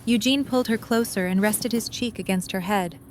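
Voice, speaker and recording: Female narrator for scripted story narration; medium pitch, slight noise on the track. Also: brisk.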